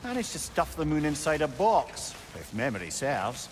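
Witty old game sidekick, chatty and wry, really bouncy intonation, noisy background. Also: male voice, a little high, huge pitch swings, normal speed. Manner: droll, talkative, wryly witty. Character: chatty, wry, worldly old storytelling companion. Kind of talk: video game dialogue acting.

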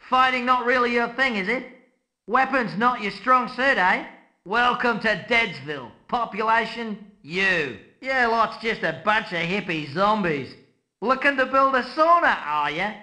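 High, sharp-voiced, cocky Antipodean soldier. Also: male voice, full character voice, low-quality recording. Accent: Australian or New Zealand. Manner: brash, mocking, slangy. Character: cocky, taunting Antipodean soldier, brash and mocking. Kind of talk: video game character taunts and barks.

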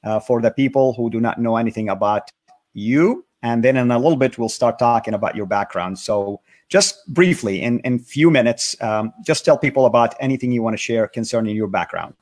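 A man's voice: medium pitch, a little fast, darkish tone. A man hosting an interview, introducing his guest politely and encouraging her, with many "uh" fillers. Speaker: interview host.

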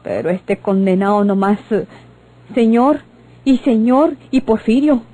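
An actress playing a plainspoken, disapproving Mexican woman with a husky voice in a radio drama. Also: on the high side, a little slow, warm tone.

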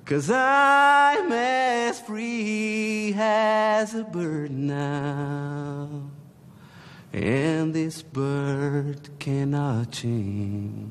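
A man singing a slow ballad a cappella at an audition. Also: on the high side, fairly bright tone, in a large hall, soft background music.

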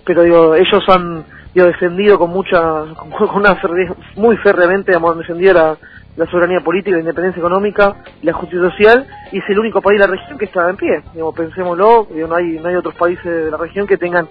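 High-pitched, fast-talking Argentine man, tinny sound. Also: fairly even intonation. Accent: Rioplatense Argentine. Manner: emphatic, repetitive, halting phrasing. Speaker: political candidate interview guest. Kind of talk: radio interview, spontaneous political talk. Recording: tinny phone-like sound over music.

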